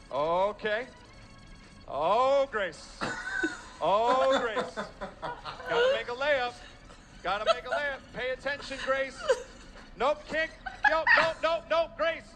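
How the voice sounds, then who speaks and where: Man, halftime announcer, lively high voice, clip with loud music. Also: slow pace, long pauses. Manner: excitable, animated. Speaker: game announcer. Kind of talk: live event commentary.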